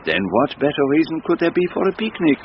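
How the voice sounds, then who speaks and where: A foreign gentleman detective, courteous and enigmatic, with formal, carefully chosen phrases. His intonation moves widely as he reasons. The radio drama sound is dull, with clear background noise. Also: on the high side, sing-song. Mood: courteous, persuasive. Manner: formal, deliberate phrasing with French forms of address. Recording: muffled, noisy radio drama recording.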